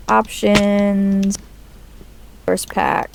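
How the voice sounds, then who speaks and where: A woman's voice: medium pitch, gravelly, noisy background. A woman streamer chatting casually while she plays a game. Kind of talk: casual live-stream chat.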